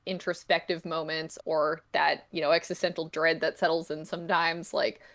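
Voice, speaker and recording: A woman's voice: sits low, flat delivery, moderate pace, fairly bright tone. A woman chatting casually as a podcast guest, explaining her thoughts in a rambling, reflective, tentative way. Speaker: podcast guest, comic creator. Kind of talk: casual interview chat.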